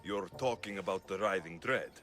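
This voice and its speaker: Actor as a low-voiced, resolute warrior hero. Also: male voice, moderate pace, music in the background, natural voice. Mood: resolute, probing. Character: brave, blunt adult Greek mercenary warrior hero. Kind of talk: dramatic video game acting.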